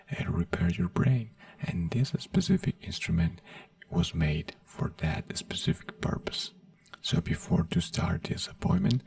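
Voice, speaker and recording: Low gravelly whispering man, slow. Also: no room sound, far from the mic. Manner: soft, whispery, gently reassuring; halting non-native phrasing with fillers. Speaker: ASMR roleplay doctor. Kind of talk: soft-spoken ASMR roleplay.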